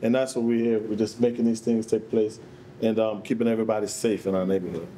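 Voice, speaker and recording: A man's voice: lowish voice, little pitch movement, quick pace, mellow, poor audio. A middle-aged man giving an informal, personal testimonial to an audience. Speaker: barber speaking at community health event. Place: large bare concrete rehearsal hall. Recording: faint background room noise.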